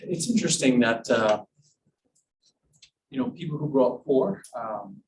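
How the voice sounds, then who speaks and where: A man's voice: mid-range voice, lively intonation, measured pace. A man, a professor hosting an interview in his university class, speaking in a chatty, enthusiastic way with restarts. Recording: distant classroom mic with room noise.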